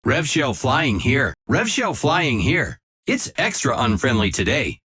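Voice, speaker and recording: An actor playing a dry-humoured legend in a video game, making husky-voiced callouts. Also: male voice, mid-range voice, sing-song, unhurried, pushed and bright, full character voice. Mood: dry, alert.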